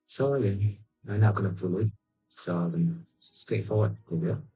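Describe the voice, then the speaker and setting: Slow, husky, low-voiced man streaming games. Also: mellow, soft background music, rough recording. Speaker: game streamer. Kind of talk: casual gameplay commentary.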